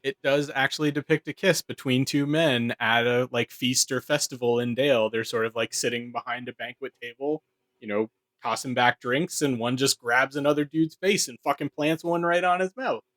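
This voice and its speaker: A man's voice: mid-range voice, fairly even intonation, normal speed, a bit bright, dry recording. A man co-hosting a podcast in casual panel chat, talking informally and swearing freely. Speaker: podcast co-host.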